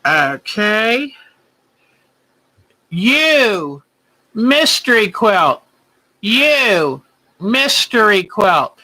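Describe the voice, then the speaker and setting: A woman, hosting a quilting bingo game and calling each clue twice, slowly and deliberately. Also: mid-range voice, sing-song, bright, forceful tone, low-quality recording. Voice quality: big voice.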